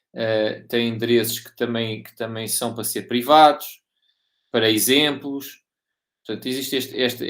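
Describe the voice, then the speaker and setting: Male lecturer, European Portuguese accent, mid-range voice, informal technical teaching with restarts and frequent checks for understanding. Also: medium pitch. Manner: halting, repeats and rephrases, checks understanding often. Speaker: university lecturer.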